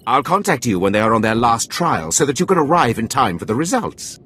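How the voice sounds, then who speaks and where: An actor playing a callous Sith overseer in a game, husky, wry and deferential yet commanding. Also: male voice, mid-range voice, very animated, a little fast, bright, forceful tone, full character voice. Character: callous, sardonic Sith overseer of slave trials.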